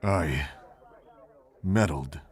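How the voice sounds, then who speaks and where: Casting: man to play wise, regretful middle-aged monk for a visual novel; very low, raspy register, gentle reflective storytelling. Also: drawn-out words, full character voice. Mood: wry, reminiscing. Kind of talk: fan visual novel voice acting.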